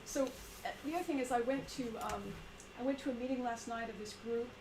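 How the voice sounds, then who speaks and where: A woman with a quiet voice leading a group discussion at a meeting, talking in a halting way with many fillers and restarts. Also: a little high. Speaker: meeting presenter. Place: meeting room with a small group. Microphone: shared room mic for a video stream. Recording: echoey room, background noise.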